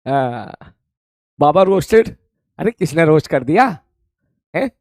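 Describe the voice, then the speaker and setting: Hoarse, chatty male physics teacher. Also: on the high side, sing-song, slow pace, darkish tone, no echo, studio quality. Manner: chatty, repeats greetings and calls students "beta". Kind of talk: live-streamed class chatting with students.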